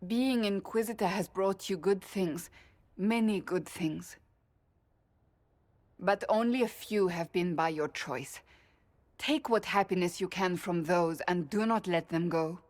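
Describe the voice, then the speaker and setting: An actress as a grave, low-voiced loyal friend. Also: female voice, measured pace, darkish tone, lightly acted. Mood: sincere. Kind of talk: video game voice acting.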